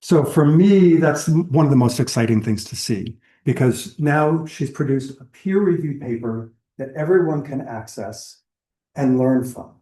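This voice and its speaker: A man's voice: mid-range voice, expressive, a little slow, mellow, reverberant, poor audio. A man giving a public lecture in a conversational, explanatory way, listing examples as he goes. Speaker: lecturer on whale entanglement response. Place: lecture hall.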